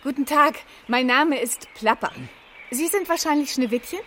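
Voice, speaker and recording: A brisk, plucky heroine of a children's radio drama. Her voice is high and cheerful, with a wry, direct delivery over a faintly noisy background. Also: fairly bright tone. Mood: polite, hurried. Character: plucky, chatty female fairy-tale helper, brisk and wry. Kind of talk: children's radio play acting.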